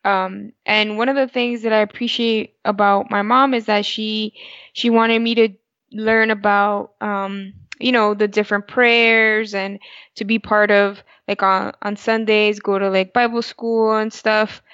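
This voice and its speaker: A woman whose first language is Spanish talks through her thoughts, pausing and restarting. The audio is dry and somewhat muffled. Her pitch sits in the middle. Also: flat delivery, a bit bright, dry recording. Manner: reflective, with frequent restarts. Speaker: co-host. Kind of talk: casual podcast conversation. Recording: dull, dry podcast audio.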